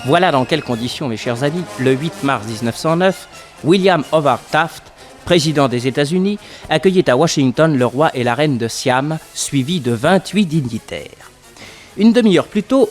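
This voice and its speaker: Animated, wry male storyteller played by an actor. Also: a little high, natural voice. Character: genial young detective's nephew recounting adventures. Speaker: narrator. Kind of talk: theatrical 1960s French radio narration.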